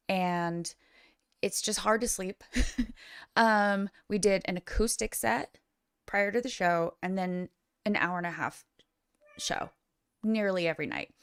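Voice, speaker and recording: A woman's voice: medium pitch, clear, resonant tone, dry recording, clean studio sound. A woman in her thirties, a rock singer, telling stories in casual livestream chat and laughing often. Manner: laughs often, chatty storyteller. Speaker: rock singer and stream co-host.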